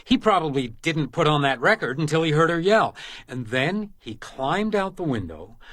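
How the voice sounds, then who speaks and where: An actor playing a gruff, hard-drinking hotel detective in a radio crime drama, speaking in terse, urgent phrases. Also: male voice, fairly high pitch, big pitch swings, normal speed, a bit bright, dry recording, clean studio sound. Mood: brisk, focused.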